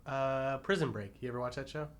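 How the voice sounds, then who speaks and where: A man's voice: medium pitch, brisk. A man giving blunt, opinionated takes in a casual movie discussion among podcast panelists.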